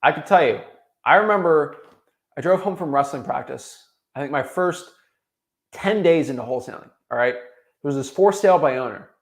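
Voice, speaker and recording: A young man from Florida giving an energetic motivational talk, full of restarts and tagging his points with "right?". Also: on the high side, moderate pace. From Florida, USA. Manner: energetic, repetitive tag "right?", frequent restarts. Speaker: real estate wholesaling coach.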